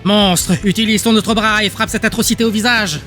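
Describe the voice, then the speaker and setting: A man's voice: steady tone, a little fast, sharp tone, soft background music. A man voicing a furious, hateful woman trainer who snaps orders at her monster in an audiobook. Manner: shrill, snapping orders.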